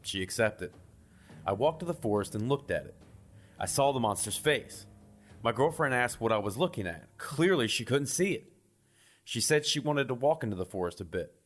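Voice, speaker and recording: A man's voice: medium pitch, animated, normal speed. A man reading a scripted first-person creepypasta as a horror story narrator. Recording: music behind the voice.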